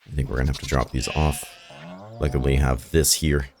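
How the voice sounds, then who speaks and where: A hoarse man with a raspy, rough voice, rambling and thinking aloud as he plays through a farming game in casual commentary. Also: a bass voice, flat delivery, a little fast, a crowd in the background. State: hoarse from shouting the night before. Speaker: gaming video host. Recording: game music behind the voice. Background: game music.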